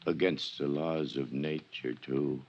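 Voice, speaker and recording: Gravelly, deep, odd pious street witness. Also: male voice, steady tone, a little mellow, heavily acted. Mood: solemn. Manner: slow, halting, stubbornly literal, vague. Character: odd, evasive, pious male newspaper seller witness. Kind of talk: 1950s radio drama acting. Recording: muffled old radio recording.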